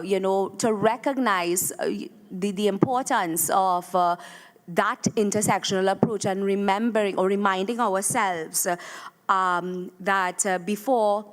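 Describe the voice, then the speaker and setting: Hesitant Trinidadian woman, minister. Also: mid-range voice. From Trinidad and Tobago. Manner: hesitant, many fillers and restarts. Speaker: government minister. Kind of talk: formal remarks at a round table.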